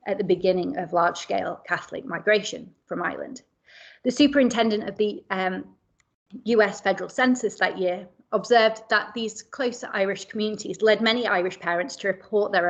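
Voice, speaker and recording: A woman academic presenting a seminar paper in a measured way, often pausing with fillers and correcting herself mid-word. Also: medium pitch, vocal fry. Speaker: academic speaker.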